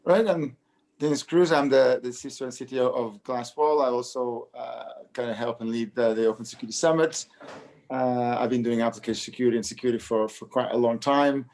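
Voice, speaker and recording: A man's voice: medium pitch, fairly quick, a little mellow. A man who helps lead the event, introducing himself enthusiastically with some restarts. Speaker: event organizer.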